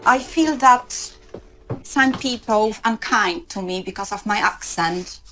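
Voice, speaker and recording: A woman's voice: medium pitch, unhurried, bright, forceful tone, faint music behind, poor audio. A woman from Poland with a Polish accent, speaking reflectively in a documentary interview.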